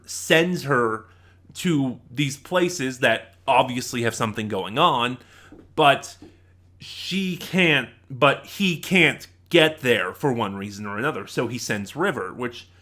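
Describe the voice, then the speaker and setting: A man's voice: mid-range voice, bright, forceful tone. A man hosting a casual spoken review in a conversational way, often hedging and adding asides. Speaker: host of a review channel.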